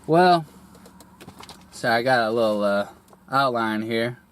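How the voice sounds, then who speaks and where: A young man getting over a cold, his voice a little raspy, telling a personal story slowly with many pauses, fillers and throat clearing. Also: mid-range voice, lively intonation, slight background noise. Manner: hesitant, rambling, many fillers and restarts, clears throat often. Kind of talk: candid personal monologue.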